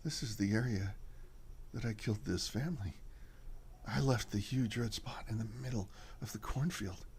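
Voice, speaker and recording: A man's voice: lowish voice, moderate pace, a little mellow, a little hiss or hum, lightly acted. A man playing a terrified, guilty murderer in a radio horror drama, pleading in breathless panic. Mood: panicked. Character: terrified, guilty murderer begging for his life.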